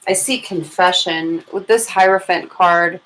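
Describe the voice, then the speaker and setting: A woman's voice: lowish voice, a little slow, fairly bright tone, low-quality recording. An American woman hosting a live tarot reading, chatting informally to her viewers and thinking aloud as she goes. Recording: clear background noise and room ambience.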